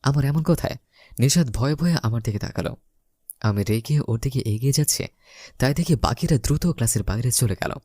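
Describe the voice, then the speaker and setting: A young man narrates a romantic comedy story in a theatrical, first-person way. His mid-pitched voice swings up and down with lots of expression, and the sound is dry and close. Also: animated, fairly quick, mellow, no echo. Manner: theatrical, acts out his lines.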